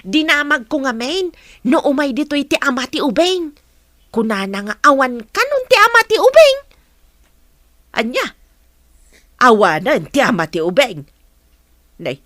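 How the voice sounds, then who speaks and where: High, animated nosy village woman acted out. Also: no echo, studio quality, exaggerated voice. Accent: Ilocano. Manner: inquisitive, expressive. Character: nosy, chatty village mother who questions everyone. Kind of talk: Ilocano radio drama acting.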